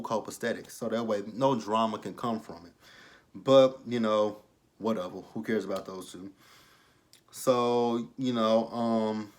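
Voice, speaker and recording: A young man with a somewhat low voice recaps a reality TV episode in loose, casual talk. He is blunt and swears freely, and he drifts into preachy side rants. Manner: blunt, profane, rambling, preachy asides. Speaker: YouTube reality-TV reviewer.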